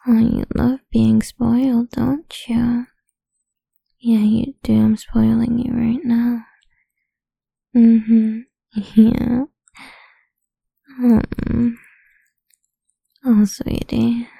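A woman whispers close to the mic in an intimate roleplay, taking charge with a teasing, dominant edge. Her voice is dark and gravelly. She lingers over every phrase, unhurried and low. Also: level tone, dry recording.

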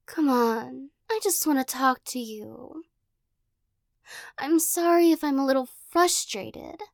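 A young woman voicing a possessive, teasing girl who corners the listener in an audio roleplay, giggling and coaxing, often dropping into soft whispers. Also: sits high, animated, slow, vocal fry, long pauses, dry recording, clean studio sound, heavily acted.

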